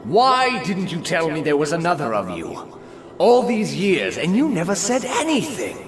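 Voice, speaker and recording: A man's voice: sits high, wildly swooping pitch, normal speed, echoey room, a crowd in the background, pitched above the performer's own voice. A man playing a troubled, accusing sorcerer in an audiobook, with an insistent, questioning manner. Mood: suspicious, hurt. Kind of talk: audiobook character voice.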